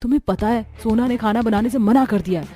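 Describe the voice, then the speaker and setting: A woman's voice: on the high side, fast, dark tone, close to an everyday voice. A woman voicing a modern, anxious young daughter-in-law in a family cartoon, pleading and insistent. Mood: demanding. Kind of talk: Hindi cartoon story dialogue.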